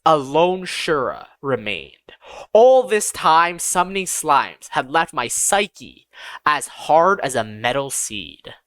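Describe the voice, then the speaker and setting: A woman's voice: low voice, no echo. A woman reading a scripted first-person fantasy audiobook as its narrator.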